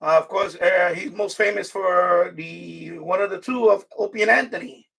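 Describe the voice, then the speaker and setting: A middle-aged man chatting casually on a live stream, halting and rambling as he corrects himself. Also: high-pitched, vocal fry, fairly bright tone, slightly rough, recorded from a distance. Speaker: live streamer, radio hobbyist.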